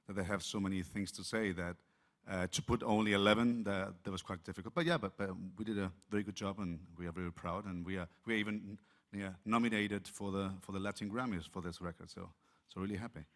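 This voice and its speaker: A low male voice answers questions in an interview. He hesitates and repeats himself as he gathers his thoughts, speaking in a casual way. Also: steady tone, fast, few pauses, fairly bright tone. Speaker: singer in a vocal group.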